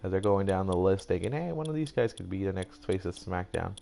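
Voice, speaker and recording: Low-voiced young man doing casual game commentary. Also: animated, brisk, gravelly, dark tone. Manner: casual, rambling, mock-announcer phrasing, swears. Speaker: gaming YouTuber. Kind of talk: mock draft announcer commentary over gameplay.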